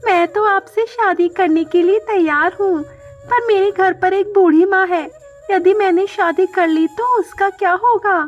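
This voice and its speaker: A woman's voice: extremely high pitch, a little slow, muffled recording, faint music behind, pitched above the performer's own voice. A woman voicing a dutiful, modest young female bird who works as a maid in a children's cartoon story, speaking politely and earnestly. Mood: surprised, modest.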